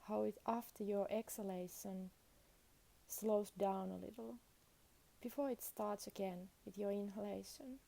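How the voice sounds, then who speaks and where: A woman leading a guided meditation as a teacher, speaking soothingly in short phrases with long pauses between them. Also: medium pitch, fairly even intonation, vocal fry, mellow.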